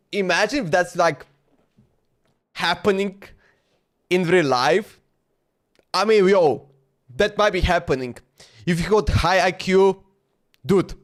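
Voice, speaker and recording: Young man, high voice, slangy rambling game commentary, livestreamer. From Slovenia. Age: 20s. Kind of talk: casual live reaction commentary.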